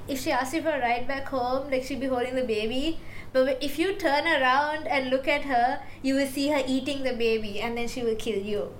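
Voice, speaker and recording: High-voiced chatty young woman. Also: steady tone, a little fast, low-quality recording. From Sri Lanka. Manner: chatty, heavy use of "like". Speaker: podcast co-host. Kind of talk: casual chat about folklore. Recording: faint background noise.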